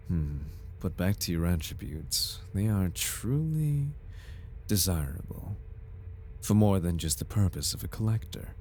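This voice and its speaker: A man playing a smooth-talking, flirtatious and faintly sinister corporate advisor in a formal, theatrical ASMR roleplay. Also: low-pitched, darkish tone, slight background noise, heavily acted. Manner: theatrical, formal, silky salesman politeness. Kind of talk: ASMR roleplay, scripted in-character monologue.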